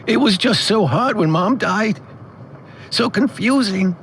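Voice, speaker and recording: A man's voice: high voice, animated, slow pace, fairly bright tone, slight background noise, pitched above the performer's own voice, full character voice. A man playing an emotional, contrite adult son in an audio drama, pleading as he talks. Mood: tearful, apologetic.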